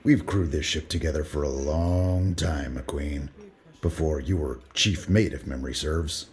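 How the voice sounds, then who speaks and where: A man voicing a calm, direct ship lieutenant in a tabletop game. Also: very deep voice, unhurried. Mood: steady. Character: steady, trusting male ship lieutenant.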